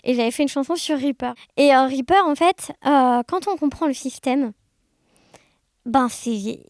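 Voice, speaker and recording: A woman's voice: sits high, measured pace, no room sound, clean studio sound. A woman chatting informally and animatedly as an interview guest. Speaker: interview guest, amateur audio fiction maker. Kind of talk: casual conversational interview.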